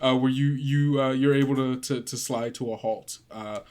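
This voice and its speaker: A man narrating a tabletop game as game master, informal and halting as he improvises the scene. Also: mid-range voice, fairly even intonation, a little mellow.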